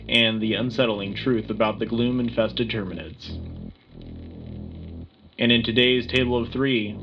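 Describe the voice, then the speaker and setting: A man's voice: mid-range voice, lo-fi sound, slight background noise, close to an everyday voice. A man playing a patriotic wartime radio news anchor, delivering a scripted broadcast in a dramatic, declamatory way. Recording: music bed behind the voice.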